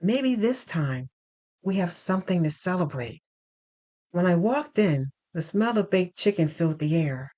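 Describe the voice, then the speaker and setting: A woman reading first-person dramatic fiction in a deliberate, expressive storytelling manner. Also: lowish voice. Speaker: narrator.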